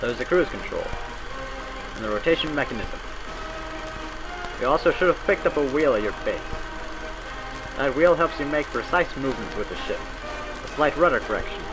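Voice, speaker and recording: A man delivers scripted instruction in the manner of a 1940s navy training reel. His voice sits at a middling pitch, brisk and folksy as he explains things step by step. Music plays clearly underneath. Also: poor audio. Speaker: training film narrator.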